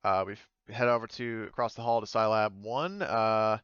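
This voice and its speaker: A dry, slightly muffled recording of a man with a smooth, clean voice. He is a game master improvising descriptions, tentatively rewording as he goes. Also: lowish voice, normal speed, a bit bright, no room sound. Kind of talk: improvised tabletop roleplay narration.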